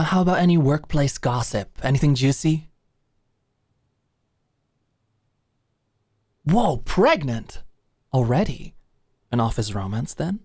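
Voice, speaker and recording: A woman's voice: low voice, warm tone, dry recording, exaggerated voice. A close, dry recording of a woman acting as a doting, dominant girlfriend in an ASMR roleplay. She fusses and coaxes with eager affection, speaking intimately like an ex-manager who now runs the home. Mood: probing, curious. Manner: affectionate, fussing, coaxing.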